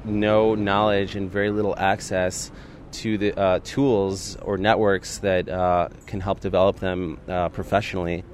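A man from the United States giving a casual interview, talking in a relaxed way and pausing with fillers. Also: deep voice, slight background noise. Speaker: interviewee, nonprofit director.

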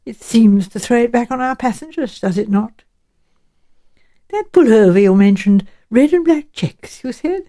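A woman reader plays an elderly English lady sleuth in a mystery audiobook. She is courteous and shrewd, choosing her words precisely, with tentative, probing phrasing and an expressive lilt. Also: on the high side, moderate pace, lightly acted. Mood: careful, deducing.

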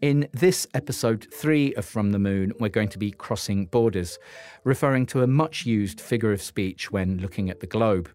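A man hosting a podcast, reading scripted narration in a measured way, then interviewing a guest. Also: on the low side, a little mellow, soft background music. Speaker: podcast host.

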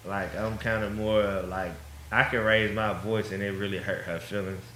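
A man's voice: fairly low pitch, fairly even intonation, normal speed, fairly bright tone, reverberant, a little hiss or hum. A man speaking bluntly and casually about discipline, laughing between points. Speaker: father in a couple interview.

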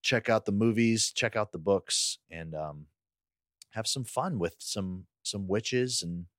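A man's voice: on the low side, slow pace, no echo, clean studio sound. A man with an American accent co-hosting a podcast in a casual, thoughtful conversational style. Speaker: podcast co-host.

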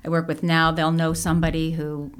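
A woman guest in a casual interview, telling animated stories and laughing often. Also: low-pitched, brisk, clear, resonant tone. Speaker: guest, singer and laughter workshop leader.

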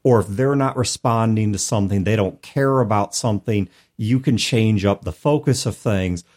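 A man hosting a talk show about tabletop gaming, explaining things in an articulate, conversational way with measured, emphatic phrasing. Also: fairly low pitch, a little mellow. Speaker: podcast host. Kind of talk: conversational advice on tabletop game mastering.

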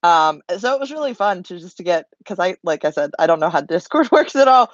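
A woman's voice: lowish voice, very animated, brisk, dry recording, highs cut off. A woman panelist chatting casually, with an informal, self-deprecating way of talking. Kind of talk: casual chat.

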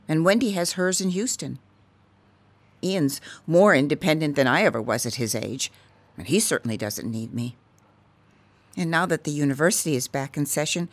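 A woman acting a resentful, lonely middle-aged wife and mother in a radio drama. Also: low-pitched. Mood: wistful, hurt.

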